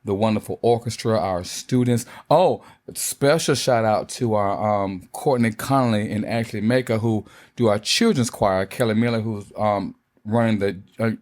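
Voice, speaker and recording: A man co-hosting a casual podcast, playful and quick to laugh, who directs choirs. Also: fairly low pitch, darkish tone. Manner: playful, laughs often, teasing. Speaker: podcast co-host. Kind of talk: casual chat.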